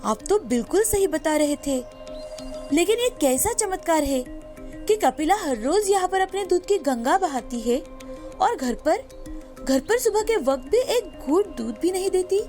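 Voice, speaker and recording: A woman voices a farmer's wife in a storybook tale, high-pitched and expressive. She comes across as caring and curious, with music playing behind her. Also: unhurried. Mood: surprised. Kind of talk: scripted moral story dialogue.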